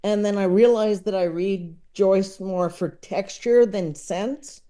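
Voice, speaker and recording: A woman chatting casually as a podcast guest, enthusiastic and digressive, often halting as she works out her thought. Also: mid-range voice, mellow. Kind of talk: casual chat about books and comedy.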